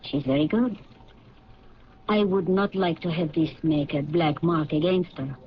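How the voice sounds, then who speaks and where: A woman's voice: low-pitched, a little slow. A defiant, guarded woman. She speaks formally, in clipped phrases. The sound is a dull, hissy 1970s radio drama recording. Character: guarded, defiant foreign woman, bereaved companion of murdered man.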